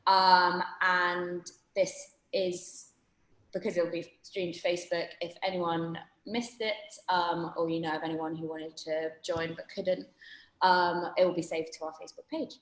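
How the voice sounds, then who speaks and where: A woman hosting an online panel over a video call, talking in a chatty way with lots of ums and restarts. Her voice sits fairly low for a woman. The room around her sounds roomy and echoey. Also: flat delivery, measured pace, fairly bright tone. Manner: chatty, many fillers and restarts. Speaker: webinar host.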